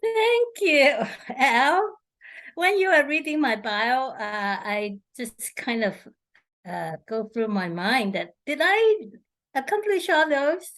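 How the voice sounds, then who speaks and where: A middle-aged woman from Hong Kong speaks slowly as an interview guest. She pauses often, with fillers and restarts. Her voice sits a little high. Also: lively intonation, fairly bright tone. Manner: halting, many fillers and restarts. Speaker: guest, feng shui consultant. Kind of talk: interview answers.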